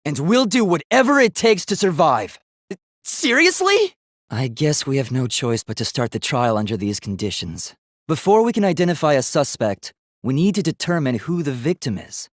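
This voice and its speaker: A young male robot student from a video game, earnest and logical. His delivery is formal and precise, as if every statement is being reasoned through, yet the pitch moves with lively variation. The sound is dry, with no room around it. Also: moderate pace, no room sound. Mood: earnest, serious, then alarmed. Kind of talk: English video game voice acting.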